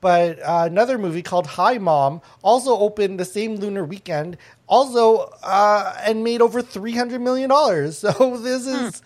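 Casual podcast host leading a panel chat, rambling with many "uh"s and restarts, mid-pitched voice. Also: normal speed, few pauses. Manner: chatty, many fillers and restarts.

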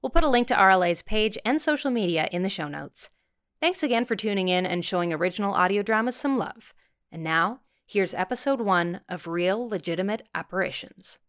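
A woman's voice: mid-range voice, animated, fairly quick, fairly bright tone, clean tone. A woman hosting a podcast, giving a scripted introduction in an informal, playful and enthusiastic way. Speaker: podcast host, voice actor.

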